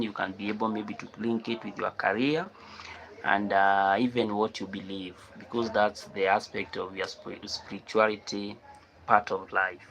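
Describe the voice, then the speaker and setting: Kenyan man chatting live, gravelly and low. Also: rough recording. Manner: repeats phrases, reads out and answers viewer comments. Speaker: live stream host. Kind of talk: casual live chat with viewers.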